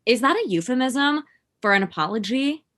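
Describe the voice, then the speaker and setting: A woman's voice: on the high side, expressive, moderate pace, fairly bright tone. Woman playing scornful thirty-year-old woman, blunt, dry, cutting, very varied intonation, naturalistic comedy table read.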